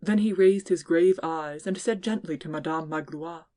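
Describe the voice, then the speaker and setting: Woman reading classic fiction as the narrator, scripted audiobook style, voice a bit on the low side. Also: normal speed, dark tone.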